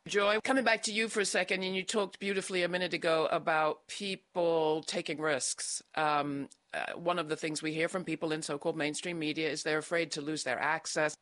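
A middle-aged woman hosting an interview, asking questions in a measured, searching way. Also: deep voice, fairly even intonation, fairly bright tone, no echo. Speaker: host.

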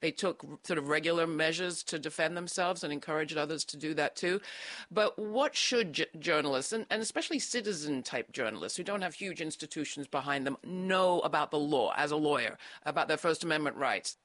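A middle-aged woman hosting an interview, asking questions in a measured, searching way. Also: deep voice, a bit bright, no room sound. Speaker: host.

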